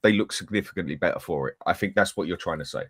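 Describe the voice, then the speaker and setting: A man's voice: deep voice, fast talker, husky, no echo. A man speaks bluntly in a football chat, cutting arguments short with decisive verdicts. The recording is dry and close. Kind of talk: heated casual debate.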